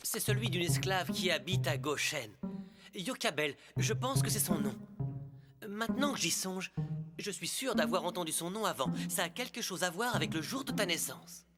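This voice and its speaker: A man's voice: high voice, lively intonation, normal speed. A man voicing a jealous, scheming young prince in a French cartoon dub, sly and taunting. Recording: loud music under the voice.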